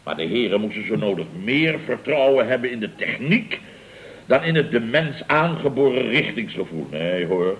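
An actor as a pompous, blustering boss, swooping pitch. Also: male voice, very animated, normal speed, natural voice. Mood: indignant. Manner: blustering, indignant, sarcastic. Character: pompous, boastful, self-justifying male boss of a building firm. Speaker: actor in a comedy radio serial. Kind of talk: 1970s Dutch radio comedy acting. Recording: dull old radio recording.

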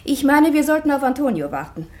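An actress playing a loyal, sharp-tongued maidservant in a German radio play, speaking precisely and dryly, respectful yet pert. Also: female voice, high-pitched, very animated, fairly quick. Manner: dry, respectful but pert, precise stage diction.

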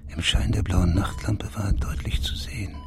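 An actor playing an insistent senior policeman who also narrates a radio thriller, measured and intense. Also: deep voice, fairly even intonation, gravelly, in a whisper, over loud music. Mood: hushed, tense. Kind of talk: radio drama dialogue and first-person narration.

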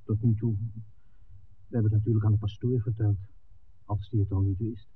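A man's voice: fairly low pitch, flat delivery, brisk, natural voice. An earnest young film-maker in a vintage Dutch radio play, played by a male actor. He is a tender lover who stands his ground when cornered. The sound is narrow, like an old broadcast, with a faint hiss. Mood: troubled. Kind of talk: 1960s Dutch radio drama acting.

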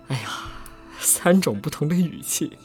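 A man's voice: fairly high pitch, lively intonation, unhurried, a little mellow, slightly rough. A man co-hosting a livestream in a playful, bantering, giggly casual chat. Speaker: voice actor co-hosting a fan livestream. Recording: background music.

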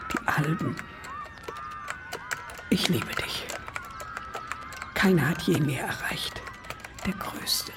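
Slow, breathy adoring female fan. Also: on the low side, noisy background, full character voice. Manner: fragmented, breathy whispering.

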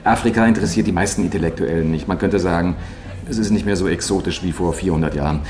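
An actor playing a pushy, scornful publisher in a theatrical German radio drama, insistent and patronizing. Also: male voice, low-pitched, fast, a little mellow, lightly acted. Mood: scheming, patronizing. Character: pushy, scornful male publisher haggling over a manuscript. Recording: roomy echo with music underneath.

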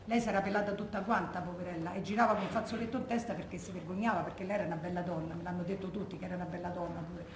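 A woman's voice: fairly low pitch, fairly even intonation, very fast, hardly any pauses, lightly acted. An actress playing the grieving, indignant daughter of a Roman war widow, telling her story colloquially and emphatically in a Roman accent, as theatrical testimony. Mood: tender, sorrowful recollection. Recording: echoey room, faint background noise.

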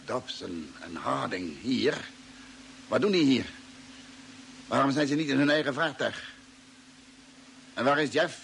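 A groggy, confused astronaut in an old radio play. His mid-pitched voice is full of creak, and he grumbles in a halting, querulous way. The recording carries some noise. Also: animated, a bit bright. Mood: puzzled, irritable. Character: confused, irritable male astronaut waking up weakened. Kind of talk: Dutch radio drama acting.

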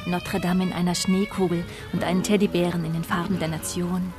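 Actress playing romantic dreamer, lowish female voice, lyrical first-person narration, radio drama, music bed. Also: little pitch movement, brisk, lightly acted. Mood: dreamy, tender. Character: romantic woman in love, dreamily reminiscing.

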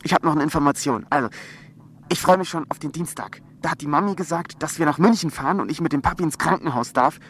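An actor playing a lonely, eager teenage boy who confides haltingly in his home tape recorder, in a radio drama. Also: medium pitch, a little hiss or hum, natural voice. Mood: shy, excited. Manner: halting, naive, confiding, testing the microphone. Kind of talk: home tape diary in a fictional radio feature.

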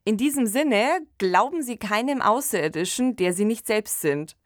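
Woman, medium pitch, podcast co-host, discussion style, very dry recording. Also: animated, normal speed, no echo.

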